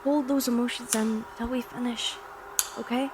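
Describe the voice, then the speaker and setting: A woman's voice: fairly high pitch, steady tone, moderate pace, very noisy, close to an everyday voice. A woman playing a protective, cautious working-class factory mother in an audio drama. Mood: hushed comforting.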